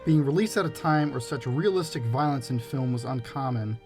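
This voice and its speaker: A man's voice: mid-range voice, fairly quick, over music. A man reading scripted narration for a video essay.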